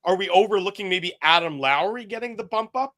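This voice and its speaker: Male hockey co-host, bright tone, slightly higher pitch, speculative and questioning. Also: expressive, fairly quick. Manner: speculative, tosses out questions and ideas. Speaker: co-host of a hockey talk show. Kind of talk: casual sports talk.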